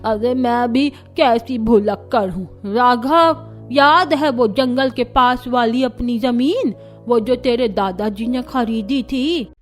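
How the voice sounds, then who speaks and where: Older cartoon mother-in-law, highish voice, grumbling, plaintive exclamations, faint music behind. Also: takes it slowly. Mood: hopeful. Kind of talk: Hindi cartoon story voice acting.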